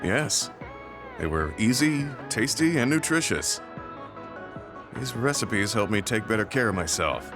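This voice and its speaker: A kind, weary veteran soldier for a video game. His voice sits on the low side and he speaks in measured, reflective phrases that carry long guilt. Prominent music plays behind him. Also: expressive. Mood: gentle, reflective. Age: middle-aged. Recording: studio voice under loud game music.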